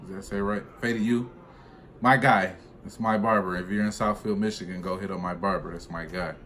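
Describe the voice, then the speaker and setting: A man's voice: sits low, fairly quick. A young man who drives trucks, telling a personal story in a casual, slangy, conversational way for a vlog. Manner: slangy, conversational, animated retelling. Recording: clearly noisy room ambience.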